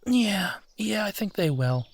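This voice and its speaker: A man's voice: high voice, sing-song, no room sound, heavily acted. A man playing a supportive, affectionate husband in an intimate comfort roleplay, talking in a reassuring, conversational way. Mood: gentle, supportive. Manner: reassuring, conversational, unhurried pauses. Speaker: audio roleplay performer.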